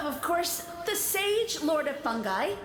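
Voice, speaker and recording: A self-important mushroom sage from a video game, lecturing in grand, disdainful phrases. The high voice swoops with wounded dignity and rings with echo over loud music. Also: female voice, lively intonation, very slow, full character voice. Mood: indignant, haughty. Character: pompous self-styled mushroom sage, haughty and easily offended.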